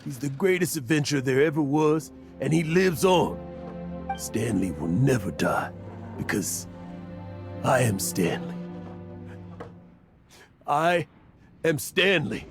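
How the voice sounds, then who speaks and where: An aging adventurer in a fantasy game, proud and guilt-ridden. His delivery is theatrical and swings widely in pitch, with music playing clearly behind him. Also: sing-song, takes it slowly, long pauses, exaggerated voice. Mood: anguished, mournful. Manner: dramatic, emotional delivery. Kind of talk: video game voice acting. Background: background music.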